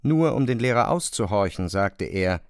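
A man reading scripted literary narration as an audiobook narrator, in a measured and precise storytelling manner. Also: fairly low pitch, expressive, clear, resonant tone, no echo, clean studio sound.

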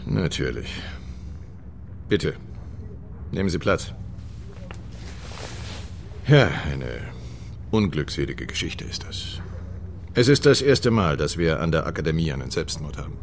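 An actor playing a cold, evasive military academy commandant, curt and condescending, in a radio crime drama. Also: male voice, a bass voice, very animated, a little slow, pauses often, a little hiss or hum, heavily acted. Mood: haughty, cool. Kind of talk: naturalistic German radio drama acting.

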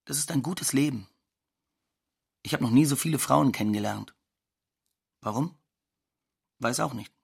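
Cocky, gravelly young migrant odd-jobber. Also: male voice, medium pitch, a little slow, pauses often, exaggerated voice. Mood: cocky, offhand. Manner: blunt, boastful, offhand. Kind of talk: radio drama monologue, colloquial.

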